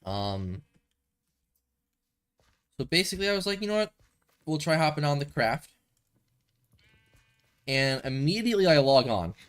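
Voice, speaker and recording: A man's voice: fairly high pitch, very animated, measured pace, long pauses, clean tone. A young man with a stuffy nose streaming a building game, thinking aloud in a rambling, casual way at a desk microphone. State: a little stuffy from a cold. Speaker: gaming streamer. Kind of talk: casual gameplay commentary.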